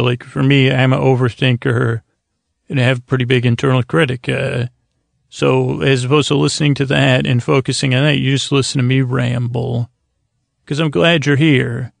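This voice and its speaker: A man hosting a sleep podcast in a meandering, halting monologue, gently reassuring and self-deprecating as he digresses and trails off. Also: medium pitch, creaky, no room sound, studio quality. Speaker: podcast host.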